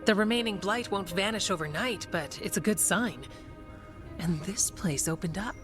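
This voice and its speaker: An actress playing a steadfast, practical warden in a fantasy video game, matter-of-fact and resolute. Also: female voice, medium pitch, lively intonation, normal speed, close to an everyday voice. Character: steadfast, practical female warden, dutiful and reassuring. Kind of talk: video game dialogue acting. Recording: game music underneath.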